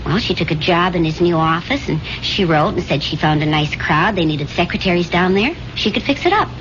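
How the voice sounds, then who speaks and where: A woman's voice: fairly low pitch, lively intonation, brisk, close to an everyday voice. An actress playing a wistful young woman reminiscing with her sweetheart in earnest, reflective 1970s radio drama style. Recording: dull, noisy 1970s radio recording.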